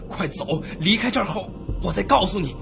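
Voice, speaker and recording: Casting: male actor as frightened young man, horror radio play, high voice, wide swings from hushed to panicked, music underneath. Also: animated, a little fast, gravelly. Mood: urgent. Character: terrified young man narrating his own horror ordeal. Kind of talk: Chinese suspense radio drama acting and first-person narration. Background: dramatic background music.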